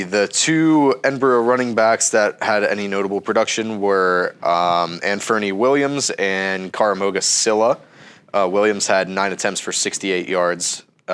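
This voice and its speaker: Low-voiced stuffy young man reading stats. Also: smooth. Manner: steady, methodical, frequent ums. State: stuffy, under the weather. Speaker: co-host. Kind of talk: casual sports chat, reading stats.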